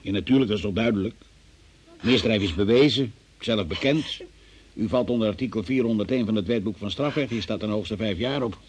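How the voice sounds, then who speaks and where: Casting: a stern radio-play magistrate, deep and rough-edged, formal, probing, precise with official phrasing. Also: male voice, a little fast, darkish tone. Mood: firm, official. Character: stern, formal male examining magistrate questioning a suspect. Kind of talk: radio drama acting in Dutch.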